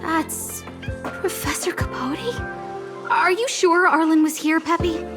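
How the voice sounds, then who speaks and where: High girlish young researcher. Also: takes it slowly. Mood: suspicious, sardonic. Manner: expressive, dramatic, slightly haughty. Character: worried, bossy, cutesy young female lead researcher. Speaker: voice actor in a video game. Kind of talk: animated game character dialogue. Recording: studio voice over soft game music.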